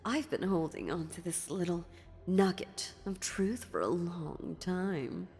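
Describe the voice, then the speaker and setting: A woman playing an ancient, sly and dominant female demon in a dark fantasy audio roleplay, taunting theatrically with deliberate pauses. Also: mid-range voice. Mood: smug, amused. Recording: long reverb, music underneath.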